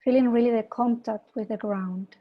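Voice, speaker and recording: A woman from Peru, a mindfulness teacher, giving an online talk and gently guiding a meditation over a video call. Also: on the high side, fairly even intonation, dull audio. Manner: slow, soft guiding voice with gentle invitations. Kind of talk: online presentation and guided meditation.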